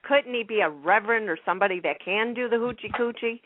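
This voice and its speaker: A woman's voice: fairly high pitch, fast talker, slight background noise. Woman chatting on a tinny phone line. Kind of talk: casual chat about a TV soap.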